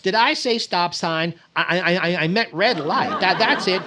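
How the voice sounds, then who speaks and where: A man's voice: sits high, bright, forceful tone. A man playing a vain, flustered, stammering middle-aged comedian in 1940s-style radio comedy. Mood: flustered pleading. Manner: hesitant, stammering, exasperated delivery with drawn-out pauses.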